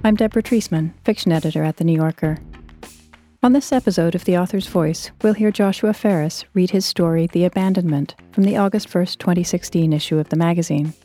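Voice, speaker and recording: A woman's voice: on the low side, brisk, dark tone. A woman hosting a fiction podcast, reading a scripted introduction. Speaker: host, fiction editor. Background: faint music.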